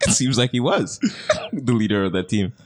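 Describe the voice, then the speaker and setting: A man's voice: medium pitch, normal speed. A young man co-hosting a casual chat, rambling with many fillers and frequent laughs. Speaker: podcast co-host.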